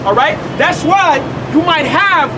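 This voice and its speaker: Man, very high voice, informal street teacher, heavy traffic noise behind. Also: expressive, a little slow, over a noisy crowd. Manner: repeats words, checks in with "okay?" and "all right?". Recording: loud traffic noise outdoors.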